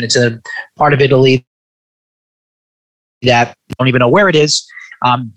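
A man's voice: medium pitch, a bit bright, low-quality recording. A man in his forties talking reflectively in a conversational interview, stressing points by repeating phrases.

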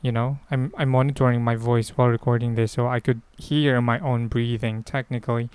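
A man's voice: mid-range voice, little pitch movement, a little fast, darkish tone, clean tone, no echo. A man with a Filipino accent hosting a casual solo podcast, answering questions in a hesitant, rambling way as he thinks aloud. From Philippines. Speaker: podcast host.

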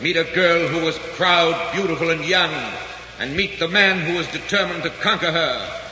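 Piercingly bright 1940s male radio announcer. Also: on the high side, normal speed. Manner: grand, declamatory. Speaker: announcer and narrator. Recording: echoey, muffled 1944 radio recording with hiss.

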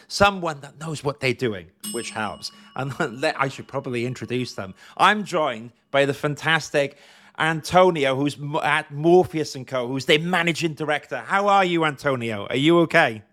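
A man's voice: medium pitch, normal speed, fairly bright tone. A man in his thirties hosting a live stream interview, chatty and joking with his audience. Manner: chatty, jokey, upbeat.

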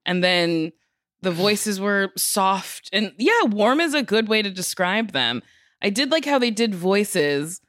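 A woman's voice: mid-range voice, big pitch swings, slow, a bit bright, dry recording. Female co-host, mid-range voice, blunt and playfully dramatic in casual chat, dry recording. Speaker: podcast co-host.

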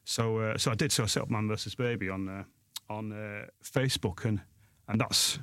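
A man's voice: on the low side, fairly even intonation, moderate pace, a bit bright, clean tone, no echo. Joking South Yorkshire man, dry close sound. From Sheffield, England. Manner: self-deprecating, jokey, rambling with restarts. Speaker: guest author and blogger. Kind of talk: casual interview chat.

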